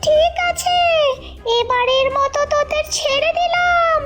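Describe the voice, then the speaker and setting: A performer voicing a cartoon witch stepmother, protective and fierce, in a childlike, high voice. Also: measured pace. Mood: angry, defiant. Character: protective witch stepmother, adult woman, tender but fierce. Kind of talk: Bengali cartoon voice acting. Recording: loud music under the voice.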